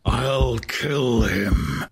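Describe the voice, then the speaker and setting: A man voicing an anguished, vengeful boy who mutters verse in a slow, brooding chant for an audiobook. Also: medium pitch, background noise, pitched above the performer's own voice. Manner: slow, brooding, incantatory repetition.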